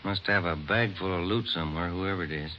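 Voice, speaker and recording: A man's voice: deep voice, a little fast, close to an everyday voice. A man playing a casual, laconic police detective partner in an old radio drama. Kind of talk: 1950s radio drama acting. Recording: dull, very noisy old radio transcription.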